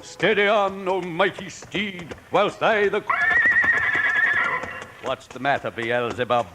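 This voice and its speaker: An actor playing a pompous old doctor in a Western radio drama, declaiming in florid theatrical style. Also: male voice, high-pitched, slow, over loud music. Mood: grandly declaiming. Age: older. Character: pompous old poetry-spouting frontier gentleman doctor. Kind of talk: 1950s Western radio acting.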